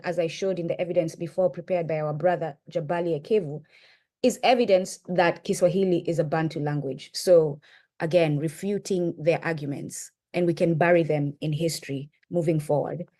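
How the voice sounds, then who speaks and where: Passionate Kenyan woman lecturing. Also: darkish tone. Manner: emphatic, rising pitch on key points, frequent "right?". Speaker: podcast co-host presenting. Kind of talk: impassioned lecture-style talk.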